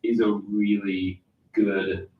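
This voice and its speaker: A man's voice: on the low side, level tone, takes it slowly, narrow, tinny audio. A man answering questions as a guest in a casual chat, hesitant and restarting his sentences. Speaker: guest, insurance manager. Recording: echoey room, mic far off.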